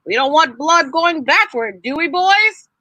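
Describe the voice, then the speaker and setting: Storybook heart doctor, male, friendly and instructive, animated, emphatic delivery, performed by a woman. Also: high voice, a little slow, no echo, pitched above the performer's own voice, full character voice. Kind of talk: children's storybook read-aloud.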